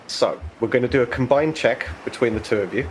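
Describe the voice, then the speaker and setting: A man as game master, explaining rules and narrating conversationally, pausing to think aloud. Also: mid-range voice, a little hiss or hum.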